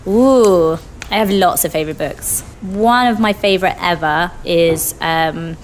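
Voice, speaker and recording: A woman's voice: fairly low pitch, unhurried, a little hiss or hum. A woman giving a casual, chatty interview as a guest events coordinator, informal and effusive in how she talks.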